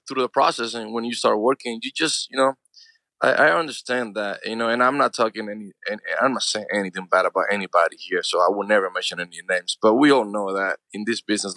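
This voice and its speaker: A quick-talking man around forty with a Cuban accent, his first language Spanish. He talks with earnest conviction, dropping "man" and "you know" constantly, and the sound is close and dry with no room around it. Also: lowish voice, pushed and bright, no echo, poor audio. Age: 40s. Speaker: actor and filmmaker guest. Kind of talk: casual interview talk.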